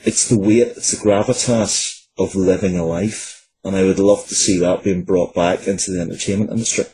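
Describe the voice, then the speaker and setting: A man in his forties speaks as an interview guest in a low, soft-edged voice. He is earnest and often restarts his phrases. There is faint noise behind him. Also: fairly even intonation, normal speed, poor audio. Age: 40s. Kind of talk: conversational interview answers.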